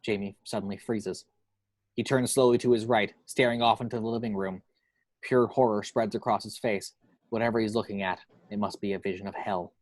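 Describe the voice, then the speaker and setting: A man's voice: lowish voice, fairly even intonation, fairly quick, dry recording. A man reading scripted action descriptions from a screenplay as narrator. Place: at home.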